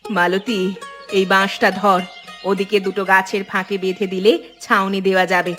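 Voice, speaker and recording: A woman's voice: mid-range voice, little pitch movement, moderate pace, fairly bright tone, faint music behind, natural voice. A woman voicing a determined, fed-up village daughter-in-law in a cartoon, speaking in an earnest, resolute way. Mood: firm reassurance. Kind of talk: cartoon voice acting.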